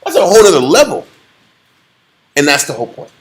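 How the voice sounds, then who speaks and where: A man's voice: on the high side, huge pitch swings, a little fast. A man leading a casual Bible study in a blunt, joking, slangy way.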